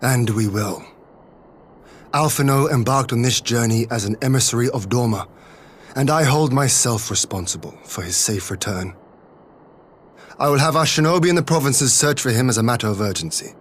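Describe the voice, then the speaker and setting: A man's voice: medium pitch, expressive, a little hiss or hum, lightly acted. A man playing a noble young lord in a video game cutscene, speaking formally and resolutely. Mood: resolute, grave. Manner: formal, measured, stately.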